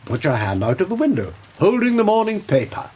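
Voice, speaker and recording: Agency chief, husky voice, lively intonation, brisk and genial. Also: male voice, high-pitched, heavily acted. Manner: brisk, good-humoured. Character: Victorian detective agency head, middle-aged man.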